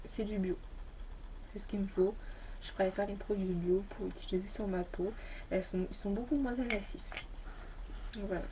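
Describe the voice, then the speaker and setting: A woman's voice: mid-range voice, fairly even intonation, normal speed, long pauses, noisy background. A woman beauty vlogger with a slight head cold, chatting casually and rambling through a review of her toiletries. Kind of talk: casual product review chat.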